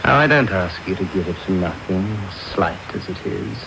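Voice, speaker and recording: An actor playing a sinister, secretive villain, commanding, contemptuous and deliberate, in a radio drama. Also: male voice, low voice, expressive, creaky. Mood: brusque, probing. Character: sinister, secretive villainous man, brusque and menacing. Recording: noisy off-air medium wave radio tape.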